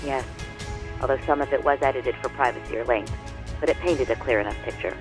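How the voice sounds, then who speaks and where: An earnest lawyer, played by a male actor, speaks in a measured and formal manner, laying things out clearly at a middle pitch. It sounds like a video game cutscene, with music clearly playing behind him. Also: natural voice. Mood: measured, factual. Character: earnest male lawyer briefing a detective colleague.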